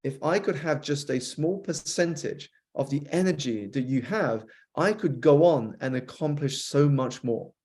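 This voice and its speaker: A man with a British accent that has been softened by an American influence, a guest author on a podcast, telling a reflective story fluently with some repeated starts. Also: a little high, lively intonation, unhurried, hardly any pauses. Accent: British, softened by years with an American spouse. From United Kingdom.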